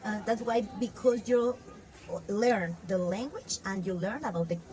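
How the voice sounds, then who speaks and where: A woman's voice: medium pitch, unhurried, warm tone. A woman interviewer asking short, plain follow-up questions. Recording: noisy background.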